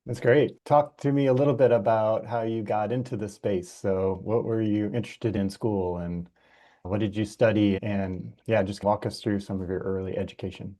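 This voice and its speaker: A man's voice: fairly low pitch, fairly even intonation, normal speed, dark tone. A man hosting an interview, asking casual, loosely phrased, exploratory questions. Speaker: interviewer, podcast host.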